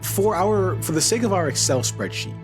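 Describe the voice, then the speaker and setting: Male host, slightly high voice, very animated intonation, playful teasing, loose conversational style. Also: normal speed, over music. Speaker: podcast host. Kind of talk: casual panel chat.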